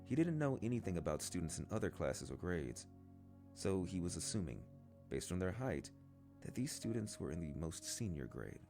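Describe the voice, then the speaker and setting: A man's voice: deep voice, very animated, normal speed, creaky, dark tone. A man reading a novel aloud as a scripted narration. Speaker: amateur story reader. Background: guitar music.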